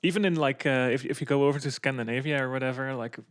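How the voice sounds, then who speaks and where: A man whose first language is Dutch, a podcast guest speaking in casual chat with halting starts and restarts. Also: medium pitch. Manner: hesitant, halting, many restarts.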